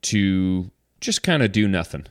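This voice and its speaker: Deep-voiced relaxed male podcast host. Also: unhurried, no room sound, clean studio sound. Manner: relaxed, rambling, frequent restarts. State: slightly congested. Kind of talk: casual podcast chat.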